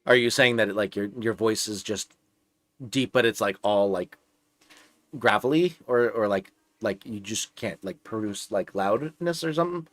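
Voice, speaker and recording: A man's voice: on the low side, normal speed, fairly bright tone, no room sound. A young man with a slightly nasal voice streaming live, chatting casually and rambling with lots of filler words and restarts. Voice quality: a bit nasal.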